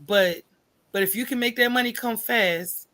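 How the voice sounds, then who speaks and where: A woman's voice: fairly high pitch, normal speed, sharp tone. Casual American woman chatting as guest. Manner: informal, colloquial, checks in with "right?" and "you know". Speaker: podcast guest, coffee business owner. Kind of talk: casual conversational interview answers.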